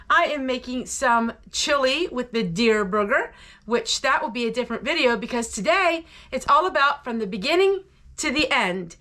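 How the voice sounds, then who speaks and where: A woman's voice: high-pitched, sharp tone. An older woman with an American accent, hosting a homesteading vlog and chatting to her viewers.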